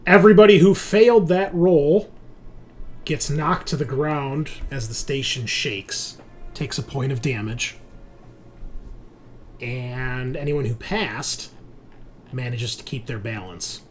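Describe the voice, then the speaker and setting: A man's voice: medium pitch, takes it slowly, pauses often, soft background music. A man running a tabletop roleplaying game, moving between conversational rulings and improvised scene narration. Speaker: game master. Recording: clear background noise.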